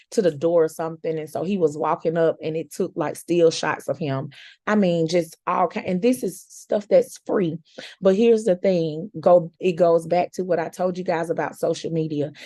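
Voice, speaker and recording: A woman with a slightly hoarse, raspy voice, coaching her audience in a chatty, encouraging way with informal slang. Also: on the low side, dark tone, dry recording, studio quality. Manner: chatty, encouraging, repeats words for emphasis. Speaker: content coach. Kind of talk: casual coaching talk.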